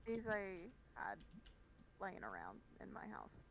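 A woman's voice: medium pitch, huge pitch swings, takes it slowly, gravelly, fairly bright tone, a little hiss or hum. A woman chatting casually and offhandedly in improvised game roleplay. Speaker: roleplay player. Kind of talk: improvised Minecraft roleplay chat.

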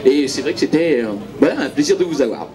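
A man's voice: fairly high pitch, expressive, fast. A man announcing a prize-giving outdoors at a motorbike race, speaking into a handheld mic and urging the crowd to applaud. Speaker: event announcer. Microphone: handheld microphone. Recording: crowd noise around. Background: crowd chatter.

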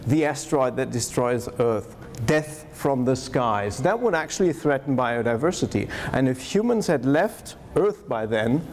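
A man with a medium-pitched voice presents slides at a conference. He talks conversationally and adds wry side remarks. The recording comes from a lecture hall and carries noticeable background noise. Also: darkish tone. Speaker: theologian giving a conference lecture. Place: darkened lecture hall at a podium, audience seated.

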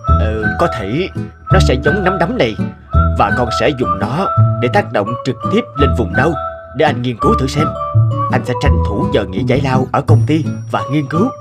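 Music plays under a man acting an earnest, devoted inventor father from a cartoon. His speech is expressive and very lively in pitch, full of determination. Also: normal speed, natural voice. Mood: thoughtful. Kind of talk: animated cartoon dubbing. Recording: music behind the voice.

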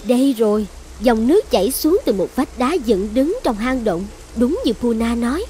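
A proud young dragon goddess played by a woman with a high voice. She speaks theatrically and clearly, like a cartoon fairy-tale wife, over faint music. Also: expressive, moderate pace, warm tone, natural voice. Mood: self-assured. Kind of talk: animated fairy tale dubbing.

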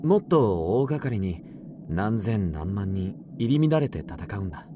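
An actor playing a gentle, educated, protective young soldier, calm and explanatory, in a radio drama. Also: male voice, fairly low pitch, measured pace, noisy background. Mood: kindly teaching. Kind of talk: Japanese radio drama acting.

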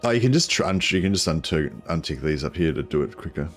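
A man, a croaky voice and a headset, chatting casually while streaming a strategy game, rambling with many ums. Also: rumbling low, expressive, fast talker, soft background music. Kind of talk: casual gameplay commentary.

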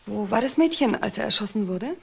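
A woman's voice: medium pitch, animated, fairly quick, gravelly. A woman playing a cool, bitter divorced wife in a crime radio drama, composed, detached and curt.

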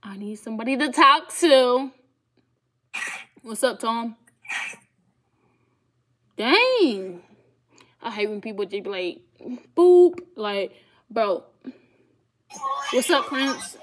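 A woman's voice: fairly high pitch, big pitch swings, slow, pauses often, fairly bright tone. Teasing young woman on video chat. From Ohio. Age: 20s. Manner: blunt, teasing, slangy. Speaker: streamer chatting with strangers on video calls. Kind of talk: casual chat. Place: small bedroom indoors.

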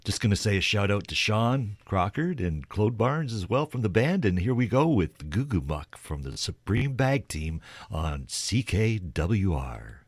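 A man's voice: lowish voice, very animated, a little slow, hardly any pauses, slightly rough, no echo. A man co-hosting a radio show, chatting casually and explaining things in an informal, hesitant way. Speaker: radio co-host. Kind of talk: casual music chat.

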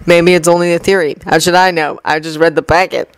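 A woman's voice: on the low side, little pitch movement, a little fast, smooth, no room sound, close to an everyday voice. A woman voicing a blunt, offhand teenage transfer student.

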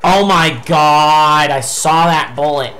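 A young man giving angry, swearing commentary while playing a video game, his voice going hoarse. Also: on the high side, takes it slowly, sharp tone. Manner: ranting, swearing, frustrated outbursts. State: losing his voice. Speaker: gameplay streamer.

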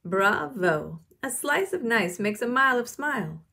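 Lady reader doing firm encouraging lady teacher from a kids book, emphatic, bossy-instructive, bit husky. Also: a little high, sing-song, a little slow, pushed and bright, voice pushed up, exaggerated voice. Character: firm, encouraging woman schoolteacher who speaks in rhymes.

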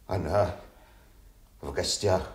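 A plain-spoken servant, grave, slow, theatrical, roomy Soviet radio recording. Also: male voice, low voice. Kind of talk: classical Russian stage tragedy for radio.

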